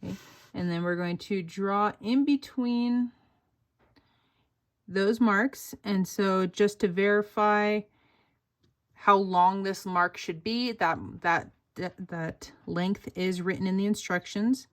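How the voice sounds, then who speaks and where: A woman's voice: medium pitch, steady tone, takes it slowly. A young woman casually walking viewers through a sewing pattern step by step, upbeat and full of fillers. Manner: chatty, upbeat, many fillers like "like" and "oop". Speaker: sewing pattern designer teaching a tutorial.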